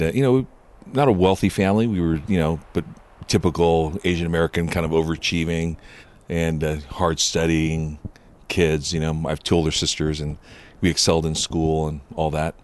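Very deep-voiced man in his fifties. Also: a little mellow. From Southern California. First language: Japanese. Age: 50s. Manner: reflective, earnest, with frequent fillers. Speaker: podcast guest. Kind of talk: relaxed storytelling interview.

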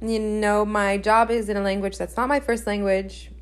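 A young woman, a native Spanish speaker, hosts a casual solo podcast in a medium-pitched voice. She talks openly and chattily, often repeating a phrase to stress it. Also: little pitch movement, moderate pace. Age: young adult. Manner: chatty, candid, emphatic with repetition. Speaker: podcast host.

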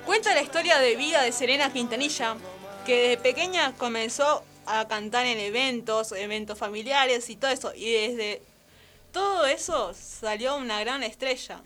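A woman's voice: on the high side, normal speed, a bit bright. A young adult woman with an Argentine accent, nervous and easily flustered, presenting an informal radio column on film and series and correcting herself as she goes. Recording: music playing behind the voice. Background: music.

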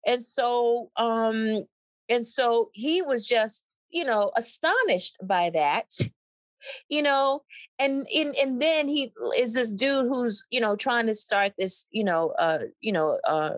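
A woman from Mississippi, an actor and guest, telling stories in an animated, wry way and laughing her way through them. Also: a little high, slow pace, no room sound. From Mississippi, USA. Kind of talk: storytelling in an interview.

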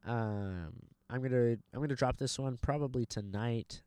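A young man hosting a casual podcast, relaxed and profane, calling everyone dude. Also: medium pitch, normal speed. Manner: laid-back, swears a lot, says dude constantly. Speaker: podcast host and stand-up comic. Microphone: handheld microphone.

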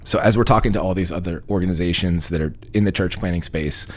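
A very deep, gravelly male voice, a little husky and stuffy from a lingering cold. He talks casually and tells stories with a dry, joking streak. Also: fast talker, darkish tone. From Texas. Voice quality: raspy, deep. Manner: joking, storytelling. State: congested from a cold. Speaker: podcast guest, church leader. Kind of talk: casual podcast conversation.

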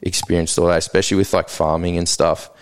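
A man's voice: sits low, quick pace, clean tone. A man in his thirties from South Africa, native Afrikaans speaker, talking casually and rambling as a podcast guest. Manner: relaxed, rambling, heavy on fillers like "like" and "sorta". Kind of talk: casual conversational interview.

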